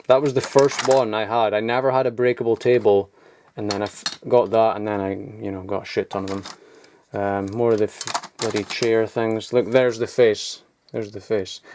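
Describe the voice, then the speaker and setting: A man's voice: fairly low pitch, noisy background. Rambling young man showing old toys. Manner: rambling, offhand, chuckles at himself. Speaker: toy collector vlogger. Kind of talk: casual show-and-tell. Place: home loft.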